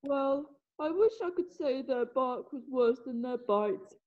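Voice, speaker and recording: A woman's voice: high-pitched, a little slow, highs cut off. A woman playing a suave, sly, witty male fox with an ironic way of talking in an amateur readthrough. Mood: wounded, wry.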